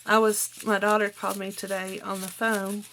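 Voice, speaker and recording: A middle-aged woman with a slightly hoarse voice, chatting casually to viewers as a craft channel host in a homely, rambling way. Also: mid-range voice, level tone, normal speed, fairly bright tone, slight background noise, rough recording. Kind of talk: casual chat to viewers while journaling, partly reading own notes.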